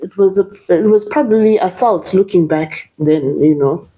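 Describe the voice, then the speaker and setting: A woman's voice: fairly low pitch, moderate pace, mellow, a little hiss or hum, rough recording. A woman in her thirties from Zimbabwe whose first language is Shona, speaking as an interview guest with many fillers and restarts.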